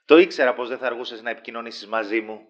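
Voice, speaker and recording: A man's voice: medium pitch, lo-fi sound, natural voice. A man playing an insistent, effusive magazine director in an audio drama, with an insinuating way of talking. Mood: eager, persuasive. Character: smooth, pushy male magazine director, insistent.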